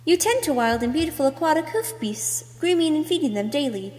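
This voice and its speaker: A woman's voice: high-pitched, animated, brisk, darkish tone. A woman reading scripted second-person narration as an amateur voice actor, in a performed storytelling way. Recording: echoey, long room reverb.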